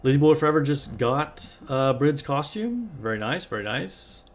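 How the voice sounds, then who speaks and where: A man's voice: on the high side, big pitch swings, moderate pace. Scratchy-voiced man hosting a casual livestream. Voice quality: slightly scratchy. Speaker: live stream host. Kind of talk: casual livestream chat, greeting viewers. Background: music.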